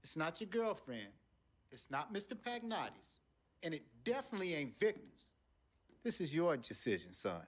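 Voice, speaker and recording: A man playing a middle-aged, plain-spoken father in a game cutscene, folksy, deliberate and authoritative. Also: a little high, very animated. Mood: firm, measured. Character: steady, principled, plain-spoken family father. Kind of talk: naturalistic dramatic acting in a game cutscene.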